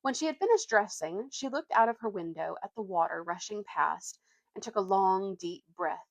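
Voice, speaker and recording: A woman's voice: medium pitch, lively intonation, normal speed, gravelly, no echo, low-quality recording. A young woman with an informal, youthful way of talking, reading a storybook aloud and chatting as a vlogger. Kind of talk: storybook narration and casual chat.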